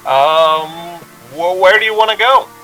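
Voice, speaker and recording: A man's voice: high voice, a little slow, bright, forceful tone, soft background music, rough recording. An actor playing a haunted, anxious young man driving cross-country, speaking in a tense, hesitant radio drama style. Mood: nervous, halting. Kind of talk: old-time radio drama acting with narration.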